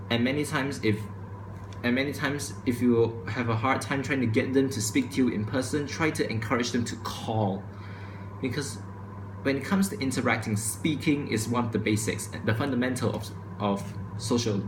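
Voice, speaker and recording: A man's voice: medium pitch, background noise. Stuttering young man in echoey room. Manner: stutters and restarts, says he speaks too quickly. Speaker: student vlogger. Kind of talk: casual opinion monologue to camera. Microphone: camera microphone. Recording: echoey room with faint ambience.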